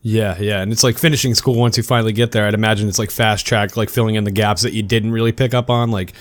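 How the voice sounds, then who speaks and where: A man's voice: on the low side, fairly even intonation, fast, no echo. A man co-hosting a podcast, musing casually and peppering his talk with "like" and "you know". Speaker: podcast co-host. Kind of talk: casual chat.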